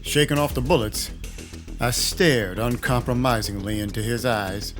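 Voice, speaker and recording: An actor playing a sardonic, brooding vampire detective in an audio drama, speaking with wry control and quiet menace. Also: male voice, mid-range voice, measured pace, soft background music, close to an everyday voice. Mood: wry, grim narration. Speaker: narrator and lead character. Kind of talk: first-person noir-style audio drama narration.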